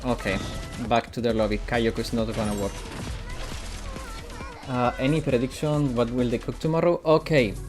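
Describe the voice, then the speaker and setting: Gaming streamer, male, from Italy, mixed British/American English accent, medium pitch, chatty, faint game music underneath. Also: steady tone, normal speed, pauses often. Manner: chatty, answers chat while playing. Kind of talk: casual live-stream chat.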